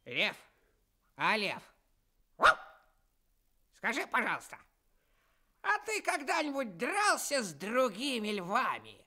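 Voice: medium pitch, wildly swooping pitch, slow, pauses often, bright, forceful tone, exaggerated voice. An actor playing a small, curious, eager talking dog in a children's radio play.

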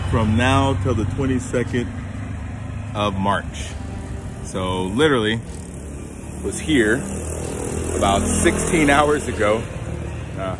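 A man in his thirties talking casually to a phone camera held at arm's length, relaxed and conversational with many fillers. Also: fairly low pitch, noisy background. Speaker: fitness vlogger. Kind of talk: casual vlog. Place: outdoors on a city street, then indoors at home. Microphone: phone held at arm's length, wireless earbuds.

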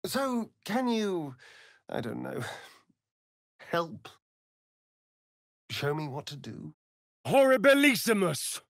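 An ancient, vain male vampire rogue from a fantasy video game. The delivery is slow and savouring, with pitch that swoops theatrically. He sounds arch and mocking, recorded very dry and close. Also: very animated, creaky, fairly bright tone, no room sound, full character voice. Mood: soft, hesitant, then commanding, incanting. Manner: arch, flamboyant, drawling, mocking. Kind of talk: video game character acting.

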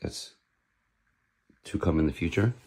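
A man with a hoarse, fading voice, casually showing off a sword with frequent pauses and restarts. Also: deep voice, expressive, a little mellow, slight background noise. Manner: halting, with many fillers and restarts. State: hoarse, losing his voice. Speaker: sword dealer presenting a katana. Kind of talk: casual show-and-tell.

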